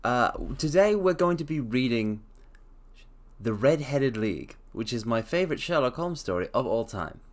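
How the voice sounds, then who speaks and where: A man's voice: mid-range voice, sing-song, normal speed. A man chatting on a live stream in a playful, theatrical manner, addressing his listeners as mortals. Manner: hums softly to himself between phrases. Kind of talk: casual live-stream chat.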